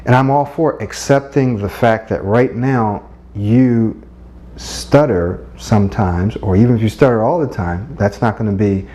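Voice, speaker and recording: A middle-aged man coaching listeners on speaking, earnest and encouraging, often checking in with a quick "right?". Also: mid-range voice, dark tone. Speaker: speech coach. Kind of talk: instructional talk.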